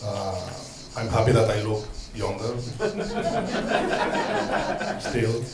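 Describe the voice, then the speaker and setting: Man with a catalan-spanish accent, lowish voice, halting earnest talk, echoey hall, background hum. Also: fairly even intonation. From Catalonia, Spain. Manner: hesitant, many ums and restarts, warm asides. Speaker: street social educator, panel speaker. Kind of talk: conference talk from notes.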